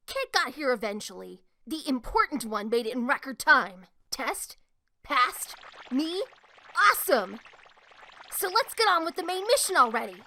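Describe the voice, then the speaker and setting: A woman's voice: high voice, very animated, normal speed, bright, forceful tone, a little hiss or hum, exaggerated voice. A woman voicing a brash, cocky young female speedster in a comic dub, slangy, sneering and full of swagger. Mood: smug, triumphant. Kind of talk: comic dub cartoon acting.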